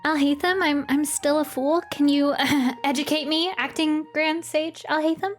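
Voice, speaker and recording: A woman's voice: high-pitched, fairly even intonation, measured pace. A woman giving casual, playful live commentary on a game, informal and slangy. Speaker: streamer playing and reacting to a game. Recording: faint game music behind.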